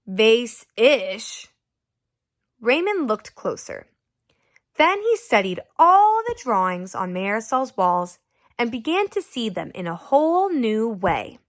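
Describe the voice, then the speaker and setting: A woman reading a picture book aloud as a teacher, in a deliberate, expressive way meant for young children. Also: a little high, dry recording, studio quality. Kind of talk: expressive storybook read-aloud with questions to children.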